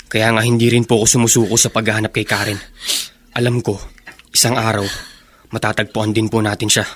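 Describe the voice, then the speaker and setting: A man's voice: on the low side, little pitch movement, brisk, fairly bright tone. A man playing an earnest, respectful, determined son in a Tagalog radio drama. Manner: earnest, polite.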